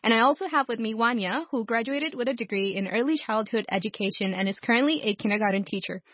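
A woman's voice: fairly high pitch, fast talker, a bit bright, no echo. Upbeat woman radio host, lo-fi. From Pakistan. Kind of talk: hosting a radio talk show.

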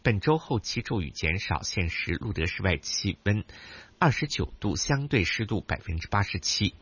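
A man reads the news and weather with a measured, even delivery. His voice sits very low and has a husky edge. The broadcast sound is a little dull. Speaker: radio newsreader. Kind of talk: formal news and weather bulletin.

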